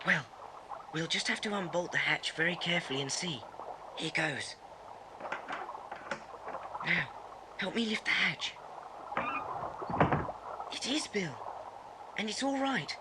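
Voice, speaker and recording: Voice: medium pitch, lively intonation, slow, long pauses. An actor playing an eager, sensible British schoolboy in a children's audio adventure drama. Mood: hushed, eager. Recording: noisy old cassette story tape.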